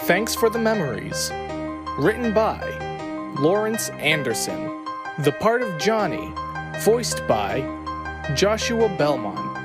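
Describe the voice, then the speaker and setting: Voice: medium pitch, big pitch swings, slow, long pauses, over loud music. An announcer reading scripted credits for an audio drama. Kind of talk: scripted narration.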